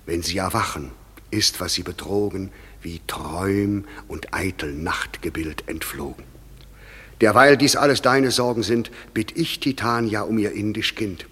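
A man's voice: on the low side, unhurried, a bit bright, breathy edge. An actor playing a solemn, commanding fairy king who declaims verse in a stately way in a radio play. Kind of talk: classic German radio play acting. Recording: long reverb with music underneath.

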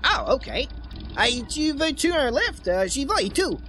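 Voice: high voice, very animated, a little slow, a bit bright, a little hiss or hum, full character voice. Personne jouant un réparateur franglais québécois, très expressif. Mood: friendly, casual. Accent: Quebec French. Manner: colloquial, franglais, slangy. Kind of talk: old-time radio comedy acting.

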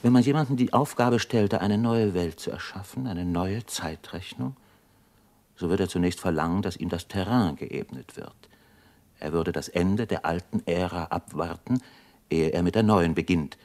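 A thoughtful man of medicine in a radio play, with a very low voice. He declaims intensely and reflectively, full of awe. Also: moderate pace, a little mellow. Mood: awed, fervent. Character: thoughtful doctor, awed by revolutionary upheaval. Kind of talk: German radio drama acting.

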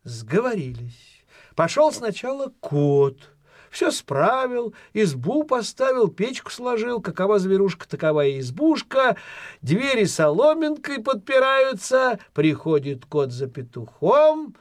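High-voiced, animated male fairy-tale storyteller. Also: measured pace, no room sound, clean studio sound. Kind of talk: expressive children's fairy-tale narration.

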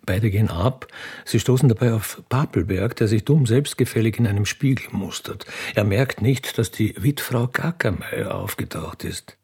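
A man's voice: fairly low pitch, expressive, normal speed, darkish tone, breathy edge. A man giving scripted narration for a radio play.

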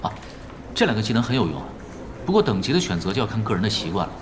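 An actor playing a laid-back, self-assured expert gamer in an audio drama, casually explaining things. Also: male voice, fairly low pitch, very animated, background noise. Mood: musing. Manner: casual, unhurried, explains tactics matter-of-factly.

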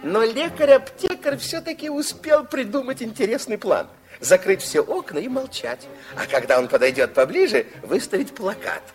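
An actor playing an expressive, kindly storyteller, narrating a children's fairytale radio play of the 1960s in a theatrical manner. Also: male voice, high-pitched, normal speed, few pauses, faint music behind. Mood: suspenseful.

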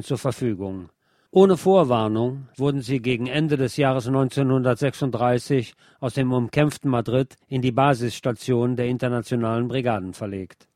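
A man's voice: mid-range voice, a little mellow, no echo. Man narrating history in a dry studio. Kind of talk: scripted history documentary narration.

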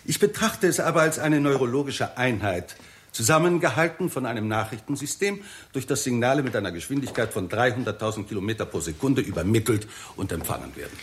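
An actor playing a confident scientist who lectures deliberately and emphatically in a 1960s German radio drama. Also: male voice, medium pitch, expressive, lightly acted. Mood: assured, emphatic. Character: male scientist, confident, explanatory, theorising.

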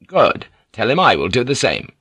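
A man's voice: mid-range voice, wildly swooping pitch, normal speed, sharp tone, slightly rough, no echo, heavily acted. Man acting a firm, commanding foreign adventurer. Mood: curt, imperious. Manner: firm, imperious, clipped. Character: commanding foreign man, firm, curt, newcomer among samurai.

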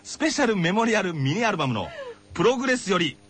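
A man with a high, very animated voice co-hosts a radio show. He banters casually and teasingly, with noticeable noise behind him. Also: fast.

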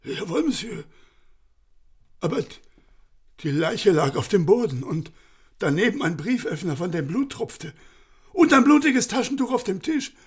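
A man's voice: high voice, big pitch swings, unhurried, pauses often, slightly rough, full character voice. An actor playing an old, frightened male servant in a radio play, agitated and stammering as he tells what happened. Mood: anxious. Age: older. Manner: agitated, tumbling nervous speech with stammers. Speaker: servant of a baron.

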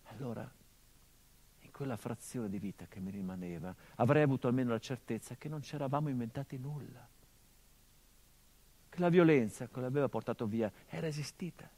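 A brooding, bitter grieving son. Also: male voice, mid-range voice, gravelly. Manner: brooding and bitter, swinging from hushed to impassioned. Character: grieving middle-aged son of a murdered journalist. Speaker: actor in a stage monologue. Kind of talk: theatrical monologue broadcast on radio.

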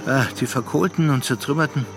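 A man narrating a literary radio drama with measured, deliberate phrasing and pauses. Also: medium pitch, over music.

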